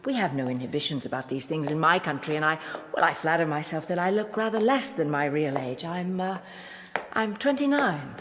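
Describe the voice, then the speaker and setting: A poised, teasing countess in a radio drama. Her voice is on the low side and very expressive, gliding seductively through gracious hospitality, over faint noise. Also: brisk. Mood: coy, hospitable. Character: poised, seductive Eastern European countess, claiming twenty-nine. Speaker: actor.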